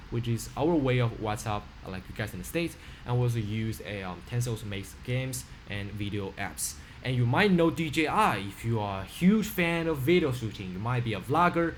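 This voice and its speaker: A man's voice: medium pitch, a little hiss or hum. Chinese-accented teenage boy presenting himself. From China. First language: Mandarin. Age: teens. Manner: earnest, rambling with frequent fillers and restarts. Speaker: student. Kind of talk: self-introduction presentation. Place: small room indoors. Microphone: webcam on a video call.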